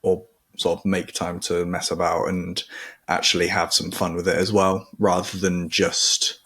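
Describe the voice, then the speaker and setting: Low-voiced male podcast host. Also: measured pace, slightly rough. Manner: relaxed, chatty, encouraging. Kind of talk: casual interview chat.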